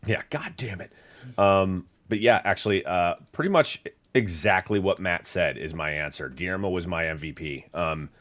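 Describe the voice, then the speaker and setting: A man's voice: deep voice, normal speed, fairly bright tone. A man co-hosting a podcast in casual chat, rambling with many fillers and restarts. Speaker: podcast co-host.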